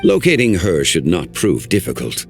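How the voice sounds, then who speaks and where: A man voicing an ancient male guardian in a video game, speaking in a formal, measured, old-fashioned way. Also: deep voice, wildly swooping pitch, vocal fry, over music, exaggerated voice. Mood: grave.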